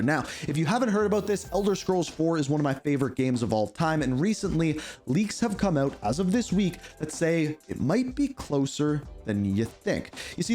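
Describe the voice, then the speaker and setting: A man hosting a casual gaming news show, chatty and opinionated, congested and hoarse from a cold. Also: a little high. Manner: chatty, opinionated, lively emphasis.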